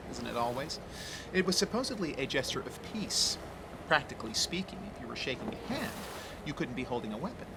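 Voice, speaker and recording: Casting: male actor as shameless, campy middle-aged ghost hunter, highly animated delivery, noisy background. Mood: chatty, airy. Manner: campy, dramatic, airy, grandiloquent. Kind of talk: audio screenplay acting.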